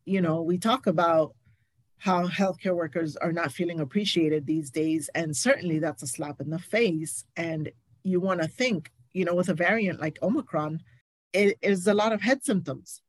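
A woman's voice: lowish voice, moderate pace, dry recording. A woman speaking as a nurse guest in a candid interview, emphatic and indignant, repeating points for stress. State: recovering from covid, stuffy.

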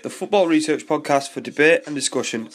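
A young man hosting a podcast interview, chatting in a relaxed way with a blocked nose from a cold. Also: fairly high pitch, brisk. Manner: relaxed, chatty, hesitant fillers. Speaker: podcast host.

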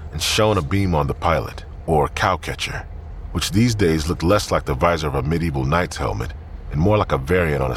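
A man's voice: a bass voice, a bit bright, a little hiss or hum. A man reading scripted crime fiction as the narrator.

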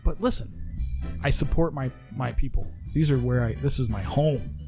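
A man's voice: mid-range voice, lively intonation, moderate pace, over music. A man co-hosting a casual comedic chat, arguing in a laid-back, profane way. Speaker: podcast co-host.

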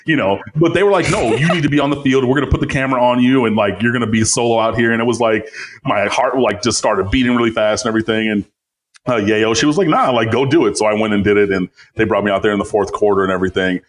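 A man with a deep bass voice talks on a podcast. He rambles through stories with lots of fillers and plenty of animation. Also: fast talker. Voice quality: low bass voice. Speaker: podcast guest, site editor. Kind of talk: casual chat.

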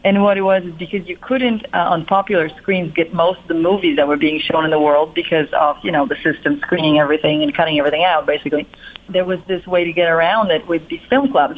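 A middle-aged woman from Poland with a Polish accent, telling her life story as an interview guest in a reflective, rambling way full of fillers and restarts. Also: deep voice. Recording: narrow phone-like line.

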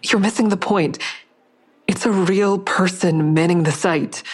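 A woman's voice: on the low side, measured pace, husky. A woman playing an anxious but determined young amateur sleuth in a naturalistic audio drama. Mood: uneasy, defensive. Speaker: lead character and first-person narrator.

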